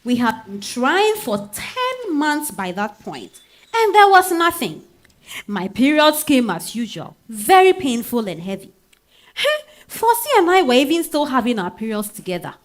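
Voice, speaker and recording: Betrayed, grieving wife played by a woman, Ghanaian accent, very animated high voice, exclamatory stage delivery. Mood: weary, lamenting. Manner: theatrical, exclamatory, with drawn-out sighs and interjections. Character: bitter, grieving betrayed wife confronting her husband. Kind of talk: dramatic monologue in a staged reading.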